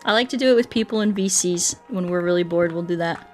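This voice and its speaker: A woman's voice: on the low side, fast talker, darkish tone, faint music behind. A woman livestreaming a game, chatting casually in a goofy, playful, rambling way. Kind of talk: casual livestream chat while playing.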